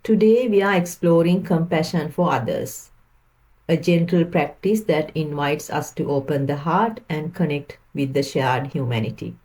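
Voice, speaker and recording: A woman leading a guided meditation, speaking in a gentle, calming way with unhurried phrasing. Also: low-pitched.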